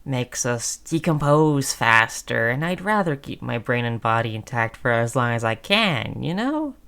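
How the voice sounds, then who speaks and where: A woman's voice: a bass voice, big pitch swings, unhurried, vocal fry, fairly bright tone, heavily acted. A woman playing a sly, chummy female zombie in an audio roleplay, coaxing in a falsely friendly, hesitant conversational way. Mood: cajoling, reasoning.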